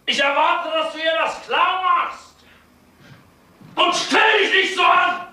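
Menacing bully in radio crime play, very high strained pitch, super echoey. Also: male voice, slow, sharp tone, exaggerated voice. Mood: angry, threatening. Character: menacing, bullying male tough laying down orders. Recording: very echoey, faint noise and clicks.

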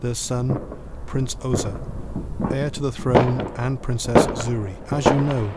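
An actor playing a stern, sceptical military commander in a science-fiction audio drama, speaking formally and with authority. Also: male voice, mid-range voice, fairly even intonation, a little slow, very noisy, close to an everyday voice. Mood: briskly commanding.

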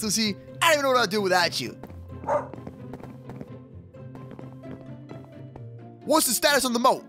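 A man playing an excitable, sassy kid hero in an animated gaming roleplay, peppering his talk with slang. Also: extremely high pitch. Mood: eager. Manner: slangy, says bro and dude constantly. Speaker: gaming video creator playing the lead character. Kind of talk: animated Minecraft kids roleplay acting.

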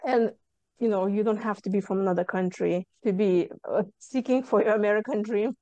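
Woman, young adult, Lithuanian accent, coach as guest, warm smooth voice, earnest, video call. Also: mid-range voice, fairly quick, no echo. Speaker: guest, stress management coach. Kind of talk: casual interview chat. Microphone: video call webcam.

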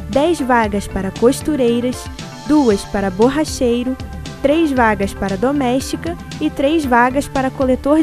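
A woman reading a list of job openings in an even listing manner. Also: on the high side.

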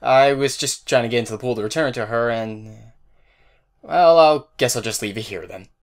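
A man's voice: mid-range voice, normal speed, natural voice. A man playing a nervous, kind-hearted teenage boy in a fan audio drama, stammering as he talks. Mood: panicked, nervous.

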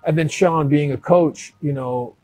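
A man's voice: fairly high pitch, measured pace, dark tone, faint music behind, rough recording. A man in his thirties hosting a podcast interview, asking eager questions in casual slang inside a boxing gym. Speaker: podcast host. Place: boxing gym, seated in ring.

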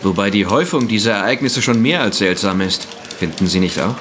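An actor playing a thoughtful, collegial newspaperman in a German audio drama, with a formal, deliberate manner. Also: lowish voice, background noise, lightly acted. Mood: pensive.

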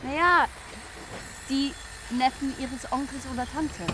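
A woman's voice: high-pitched, steady tone, measured pace, pushed and bright. A young woman playing a confident, teasing newcomer in film dialogue, with a playful, forward manner. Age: young adult. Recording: clearly noisy location background.

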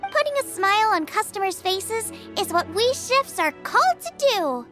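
A cheerful young girl chef from a video game, with a very high, highly animated voice. She is bubbly, eager and generous, full of passion as she speaks. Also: normal speed, music in the background. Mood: warm, generous. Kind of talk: video game character acting.

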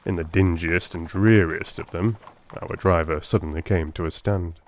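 A man's voice: sits low, fairly even intonation, moderate pace, slight background noise. A man reading scripted narration as a dramatic audiobook narrator.

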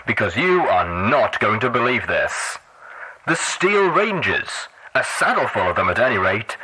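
A man's voice: medium pitch, sharp tone, breathy edge, full character voice, poor audio. A man voicing a fervent, theatrical radio DJ pony in an audiobook, rousing and preacherly, through a radio filter. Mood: excited.

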